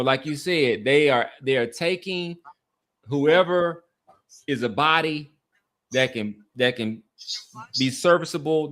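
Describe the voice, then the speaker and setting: A middle-aged American man with a somewhat high-pitched voice talks as a sports podcast host. His delivery is casual and halting. He often repeats words to drive a point home. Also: fairly bright tone.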